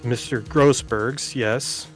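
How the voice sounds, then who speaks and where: A man's voice: medium pitch, fairly even intonation, takes it slowly, faint music behind, lightly acted. A man voicing an earnest young defense lawyer in a video game, polite, plain and a little hesitant. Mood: taken aback. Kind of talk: video game dialogue read aloud by amateur.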